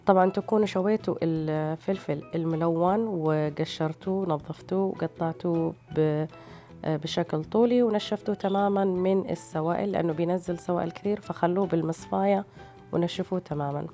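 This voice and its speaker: A woman's voice: on the low side, brisk, mellow. A woman with a tired voice, narrating recipes as a cooking host in a casual, hesitant way. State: tired, strained voice. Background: background music.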